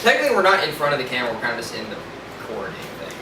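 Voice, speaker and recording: Teen male co-host, lively intonation, casual banter, echoey room with loud noise. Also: mid-range voice, rapid-fire. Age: teenager. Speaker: podcast co-host. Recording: echoey, distant mic, very noisy.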